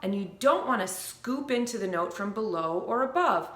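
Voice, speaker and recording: A woman vocal coach explaining breath support and pitch in a clear, upbeat teaching manner, with short sung demonstrations. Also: lively intonation, moderate pace, roomy sound. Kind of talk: instructional video.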